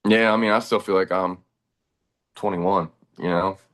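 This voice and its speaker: A man in his thirties, a band's bass player, talking in a relaxed, rambling way during a casual chat. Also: normal speed. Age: 30s.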